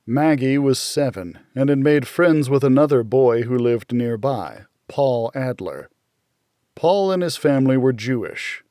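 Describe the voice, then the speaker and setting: A man reading first-person fiction as an audiobook narrator, in a measured, dramatic storytelling manner. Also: medium pitch, darkish tone, dry recording, clean studio sound.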